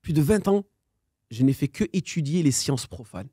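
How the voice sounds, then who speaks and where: Animated man telling a personal story. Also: on the high side, normal speed, breathy edge, no room sound, studio quality. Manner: animated storyteller, acts out quoted dialogue, sprinkles in Arabic phrases. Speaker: podcast guest. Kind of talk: personal storytelling in casual conversation.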